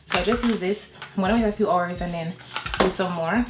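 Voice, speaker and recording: A woman's voice: fairly low pitch, a little fast, over loud music. Chatty woman vlogging from an echoey room. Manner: chatty, rambling, relaxed talk to viewers. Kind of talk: casual personal vlog chat. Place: at home.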